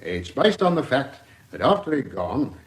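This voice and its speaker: A man's voice: medium pitch, sing-song, normal speed, lightly acted. A man playing a methodical, deferential English police inspector giving careful, factual evidence in a radio drama. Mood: dutiful, factual.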